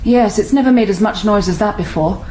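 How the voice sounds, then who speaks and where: A woman's voice: mid-range voice, brisk, mellow, lightly acted. An actress playing an earnest, questioning woman scientist at a polar base in a 1980s radio thriller. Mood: curious, concerned. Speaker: radio drama actor. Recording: noisy, roomy radio broadcast.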